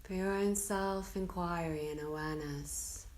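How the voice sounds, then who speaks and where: Female yoga nidra guide, lowish voice, very slow and soothing delivery, short phrases separated by long pauses. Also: fairly even intonation, darkish tone. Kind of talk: guided relaxation meditation.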